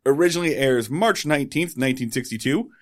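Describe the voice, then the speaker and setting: A man's voice: medium pitch, expressive, fast talker. A man co-hosting a podcast in casual chat, joking and rambling as he sets up the episode. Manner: joking, rambling, bursts of silly singing. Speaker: podcast co-host.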